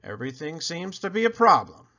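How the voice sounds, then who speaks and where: A man reading a picture book aloud in an animated storytelling style, with theatrical emphasis on questions and lists. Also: fairly high pitch, a little fast, highs cut off. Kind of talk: animated children's read-aloud narration.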